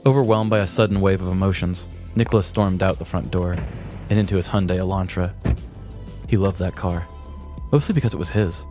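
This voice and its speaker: A man co-hosting a podcast, telling a scripted comedic story in a deliberate, mock-grandiose way. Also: low-pitched, fairly even intonation, warm tone, soft background music. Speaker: podcast co-host.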